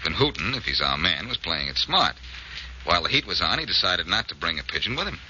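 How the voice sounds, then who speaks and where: Shrewd, wry detective: low, hard-edged voice, assured 1940s radio delivery, dull old recording. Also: male voice, sing-song, fast, sharp tone, a little hiss or hum, full character voice. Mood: brisk, confident. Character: shrewd, confident male amateur sleuth, wry and brisk.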